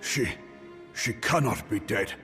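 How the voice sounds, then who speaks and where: A man's voice: lowish voice, animated, slow, sharp tone, exaggerated voice. A man playing a fierce, grieving warrior general in a video game cutscene, with a heavy, impassioned delivery. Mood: stunned grief. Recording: music underneath.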